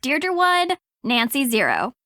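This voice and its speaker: A bratty young woman prankster from a video game, very high and piercingly bright. She teases and gloats, drawing her words out slowly. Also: wildly swooping pitch, dry recording, studio quality, heavily acted. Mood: gloating, teasing.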